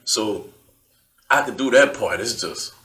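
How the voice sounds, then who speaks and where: Man, lowish voice, bright forceful tone, easygoing, casual podcast chat. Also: measured pace. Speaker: podcast co-host.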